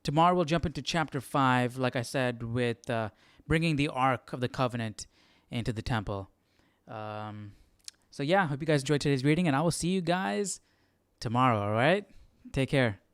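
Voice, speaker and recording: A man with a smooth, clear voice hosts a study livestream in a casual, conversational way. He thinks aloud and often tags his sentences with a questioning "right?". The sound is dry and close, with an average male pitch. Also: normal speed, no room sound. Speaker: host of a live Bible study.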